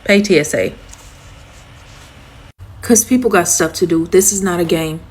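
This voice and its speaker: An exasperated woman speaks informally to camera in a fairly low voice. Her delivery is blunt, built from short, punchy sentences. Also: unhurried, breathy edge. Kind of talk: frustrated informal commentary to camera.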